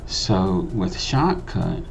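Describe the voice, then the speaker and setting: A man's voice: low-pitched, lively intonation, very slow, creaky, dull audio, faint music behind. A man giving a casual tutorial voice-over in a conversational, explanatory way, repeating phrases for emphasis. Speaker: host of a video editing tutorial channel.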